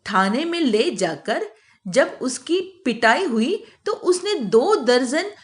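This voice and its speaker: Slow, high-voiced woman storyteller. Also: sing-song. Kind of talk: scripted narration of children's moral story.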